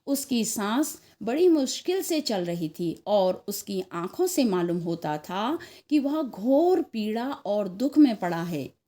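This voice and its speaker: A woman narrating a scripted story as an expressive storyteller. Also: medium pitch, slow, hardly any pauses.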